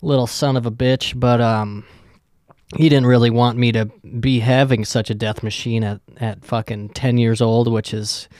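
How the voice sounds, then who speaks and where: A man's voice: medium pitch, steady tone, normal speed, a little mellow, no echo, clean studio sound. A young man hosting his own podcast, talking in a loose, joking and rambling way, with lots of swearing. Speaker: podcast host. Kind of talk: casual rambling monologue.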